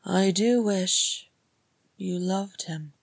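A woman voicing a gentle, perceptive, wistful elf woman in a story, speaking softly. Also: fairly low pitch, steady tone, no room sound. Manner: soft, breathy, slow.

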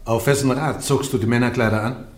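An actor in a German radio play playing a church judge who questions the accused with pointed, persistent questions. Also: male voice, mid-range voice, fairly even intonation, brisk, close to an everyday voice. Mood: probing. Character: male church interrogator, probing and insistent. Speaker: trial judge.

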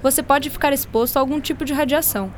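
An actress playing a kindly, playful doctor in a radio drama, patiently explaining with a Brazilian accent. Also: female voice, high voice, quick pace, slight background noise, close to an everyday voice.

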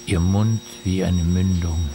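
An actor playing a somber noir narrator in a radio play, delivering poetic fragments in a clipped, deliberate manner. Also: male voice, sits low, fairly even intonation, noisy background. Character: somber noir narrator observing a haunted man. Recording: loud music behind the voice.

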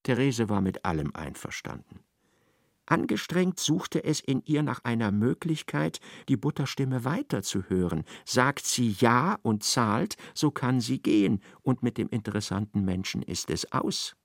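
An actor as the wry, knowing narrator of a radio play, reading literary prose in a measured way. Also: male voice, mid-range voice, very animated, no room sound, clean studio sound.

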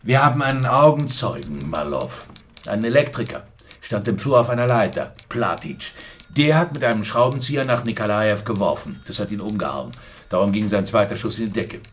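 An actor playing a matter-of-fact, dry Soviet secret police colleague in a radio crime drama. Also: male voice, lowish voice, lively intonation, a little fast, close to an everyday voice. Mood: impatient briefing.

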